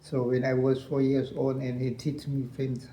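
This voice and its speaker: An older man with a Lao accent, a retired colonel recounting his war years in halting English, repeating phrases and laughing often. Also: mid-range voice, completely flat delivery, warm tone, echoey room, low-quality recording. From Laos. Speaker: interview guest, veteran colonel.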